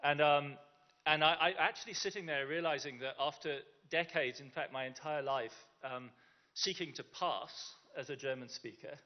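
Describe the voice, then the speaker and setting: A man's voice: on the high side, normal speed. A man giving a conference talk in a chatty, self-deprecating way, with frequent restarts. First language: English. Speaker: conference speaker. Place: conference in Germany. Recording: dull lo-fi sound.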